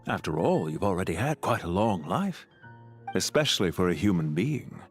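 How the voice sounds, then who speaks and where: An actor playing an urbane, scheming banker villain in a video game, sardonic and politely calculating in a suave, measured way. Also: male voice, sits low, big pitch swings, soft background music. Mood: wry, teasing. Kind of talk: video game character acting.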